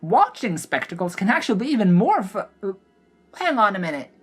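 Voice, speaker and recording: A woman voicing an excitable, chattering male gnome showman in an audio drama, giddy and theatrical. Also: mid-range voice, a little fast, slightly rough, faint music behind, pitched above the performer's own voice. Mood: boastful delight. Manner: exclamatory, rambling, giddy.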